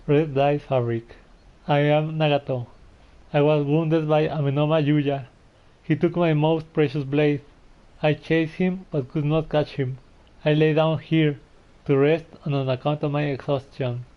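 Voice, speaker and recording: A man's voice: a little high, steady tone, measured pace. A young man in a casual game playthrough, commenting and reading text aloud. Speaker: gamer, video host. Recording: slightly muffled, game music behind.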